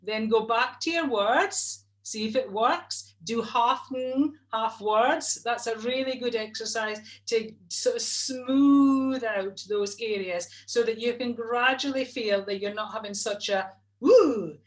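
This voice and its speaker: Middle-aged woman vocal coach, talks through exercises on a webcam call, a bit high-pitched. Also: slow pace, hardly any pauses. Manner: chatty and instructive, demonstrates hums. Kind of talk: explaining voice technique. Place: home room, video call. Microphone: video call webcam.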